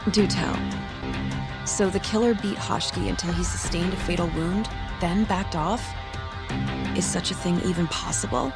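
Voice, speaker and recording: An actress playing a blunt, deadpan young lawyer with an unnerving air in an English game dub. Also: female voice, on the low side, moderate pace, loud music behind the voice, natural voice. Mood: cool, analytical. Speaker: video game voice actor. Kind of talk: English dub cutscene acting.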